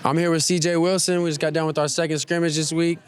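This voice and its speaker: A man's voice: fairly high pitch, little pitch movement, fast, smooth. A young man, a football player, asking a teammate friendly questions in a sideline interview. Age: young adult.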